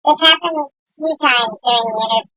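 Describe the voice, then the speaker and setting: A woman's voice: high voice, fast, pushed and bright, no echo, poor audio. A woman narrating a casual voice-over for a travel video, explaining with frequent hesitations. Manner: casual, hesitant, many uh fillers.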